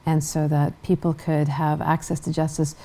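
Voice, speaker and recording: A middle-aged woman from Guyana speaks as a television interview guest, her voice low and warm-toned. She answers in a measured, thoughtful way, often pausing on an "um" or restarting a phrase. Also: level tone. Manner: measured, with frequent restarts and ums. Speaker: interview guest, human rights director.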